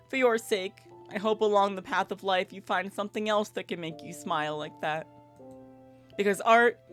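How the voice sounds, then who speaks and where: A woman's voice: mid-range voice, pitched above the performer's own voice, lightly acted. A woman voicing a disillusioned young female artist in a visual novel, with an earnest, emphatic delivery over music. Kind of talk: dramatic visual novel read-aloud. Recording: background music under the voice.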